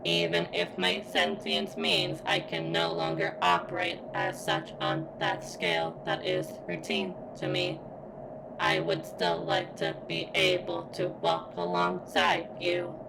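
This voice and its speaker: A woman playing a formal, vulnerable, flirtatious sentient surveillance robot in an ASMR roleplay, with stilted, halting phrasing and a pained strain. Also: rumbling low, animated, gravelly, noisy background, full character voice. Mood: formal, submissive.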